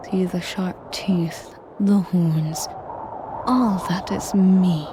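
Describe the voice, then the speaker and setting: Female performer as sadistic, possessive dragoness for audio roleplay: lowish, breathy half-whisper, extremely unhurried, imperious and condescending. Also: steady tone, background noise. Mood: proud, imperious. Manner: pompous, condescending. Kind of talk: scripted audio roleplay monologue.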